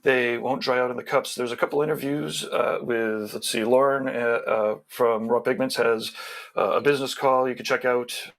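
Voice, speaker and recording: A man's voice: medium pitch, steady tone, normal speed, fairly bright tone. A man on a video call who runs the show, reading out sponsor plugs in a rambling, casual way with many restarts. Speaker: show organizer.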